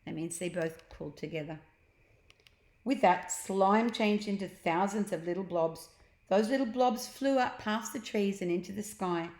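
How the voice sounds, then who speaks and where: A woman's voice: mid-range voice, normal speed, a little mellow. Woman reading a children's story, roomy echo. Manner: explains pictures and words to children. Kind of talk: read-aloud storytelling with asides to young listeners. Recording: roomy, echoing sound.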